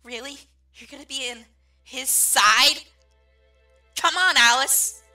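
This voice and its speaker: A woman voicing an excitable, bratty little cartoon demon in a comic dub, with exasperated, dramatic delivery. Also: sits high, takes it slowly, soft background music, full character voice. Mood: hurt, pleading.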